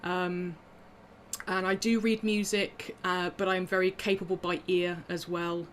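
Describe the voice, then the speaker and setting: A woman's voice: lowish voice, little pitch movement, unhurried, a bit bright, a little hiss or hum. A woman musician talking casually through her instruments on a video call, chatty and self-deprecating with frequent ums and little laughs.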